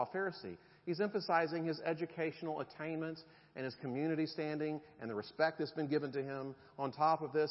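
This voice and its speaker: A middle-aged man, preaching a conversational sermon with jokes to his congregation. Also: fairly high pitch. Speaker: pastor. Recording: dull sound in a reverberant hall.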